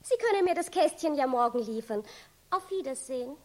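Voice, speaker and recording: A woman's voice: sits high, expressive, a little fast, a little mellow, a little hiss or hum. A woman playing a polite, bewildered and easily startled lady customer in a children's radio play. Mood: indignant. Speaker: supporting actor in a radio play.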